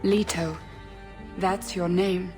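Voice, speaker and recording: A woman's voice: on the low side, little pitch movement, takes it slowly, over music. An actress playing a resentful young elf woman, a former slave, speaking earnestly and defensively. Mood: subdued, regretful.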